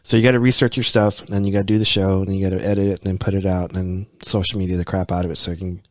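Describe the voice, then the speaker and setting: A low-voiced American man hosts a podcast in a loose, rambling way. He restarts his sentences and leans on "you know" a lot. The recording is dry and close. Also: flat delivery, racing, no room sound. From United States. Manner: rambling, repeats words, many "you know"s. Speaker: podcast host. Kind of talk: casual conversation.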